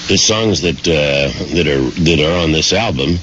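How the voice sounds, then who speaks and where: Deep-voiced male radio host. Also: a little slow, slight background noise. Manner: relaxed, chatty, fills with "uh". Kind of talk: call-in radio interview. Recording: dull lo-fi radio broadcast with music.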